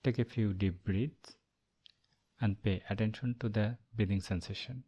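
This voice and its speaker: A man leading a guided meditation as the instructor, speaking in a calm, measured way with long pauses. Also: on the low side, slightly rough.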